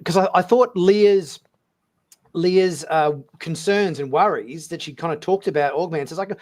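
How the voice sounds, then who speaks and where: Man, fairly high pitch, hesitant, roundabout, casual host chat. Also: unhurried, darkish tone. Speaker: talk show host. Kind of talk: casual discussion.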